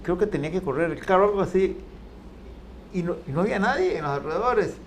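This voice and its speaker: An older man talks in a hesitant, halting way, full of fillers and restarts. His voice is fairly high and swings widely in pitch. A faint hiss sits under the recording. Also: lively intonation. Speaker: panelist. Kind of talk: casual chat.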